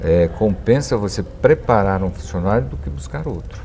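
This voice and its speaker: A man's voice: lowish voice, expressive, moderate pace, vocal fry, warm tone, a little hiss or hum. A man with a Brazilian accent hosting a conversational interview. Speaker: interviewer, host.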